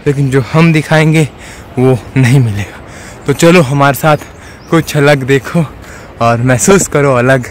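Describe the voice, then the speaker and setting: A man's voice: medium pitch, measured pace, slight background noise. A man in his twenties chatting casually to camera as a travel vlogger, holding a phone at arm's length outdoors. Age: 20s. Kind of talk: casual vlog chat to camera. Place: outdoors on a forested mountain trail.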